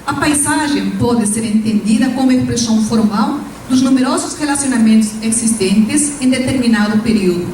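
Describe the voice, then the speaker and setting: A woman from Peru with a Peruvian Spanish accent, a native Spanish speaker giving a formal, measured academic conference presentation as invited professor. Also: a little high, darkish tone. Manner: formal, measured lecturing with Spanish-tinged hesitations. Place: lecture hall. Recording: echoey hall from far off, faint noise.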